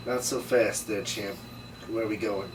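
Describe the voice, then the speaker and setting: A man's voice: lowish voice, fairly even intonation, measured pace, close to an everyday voice. A man playing a skeptical, wisecracking, weary detective at a script table read. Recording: distant mic, noisy room.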